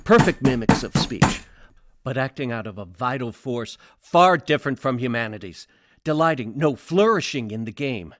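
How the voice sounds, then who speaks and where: A man's voice: mid-range voice, animated, normal speed, a bit bright, no echo, clean studio sound, natural voice. A man playing an anxious, protective man in a radio play, earnest and wordy as he cautions. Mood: urgent warning. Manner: urgent, earnest, wordy explanations.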